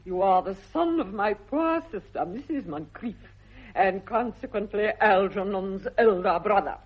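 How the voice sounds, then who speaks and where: An older woman with an upper-class English accent playing a haughty aristocratic aunt in a radio comedy, pompous and grandly deliberate. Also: medium pitch, lively intonation, breathy edge. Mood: grave, disapproving. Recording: dull old radio recording.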